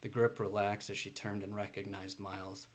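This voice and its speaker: Low, even-voiced man, author reading. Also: normal speed. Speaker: debut author reading from own novel. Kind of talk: reading a prose passage aloud, with some informal introduction.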